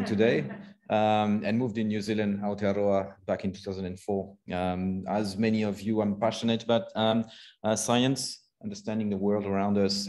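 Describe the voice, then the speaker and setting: French-accented man giving a low-voiced talk. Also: darkish tone. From France. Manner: hesitant, many fillers, friendly. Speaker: genomics researcher giving a talk. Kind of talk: conference talk. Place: conference room.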